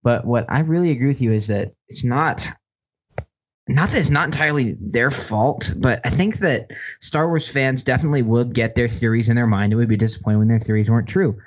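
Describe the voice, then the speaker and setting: A man's voice: medium pitch, fairly quick, poor audio. A man co-hosting a podcast, explaining his points in casual film discussion. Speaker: podcast co-host.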